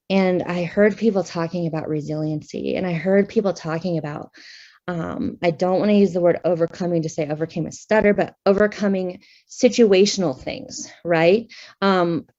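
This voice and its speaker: A woman with a somewhat low voice speaks candidly and conversationally, often pausing on fillers as she talks to a conference audience. The audio is dull and lo-fi. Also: darkish tone. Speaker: speech pathologist. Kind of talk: personal talk at a conference session.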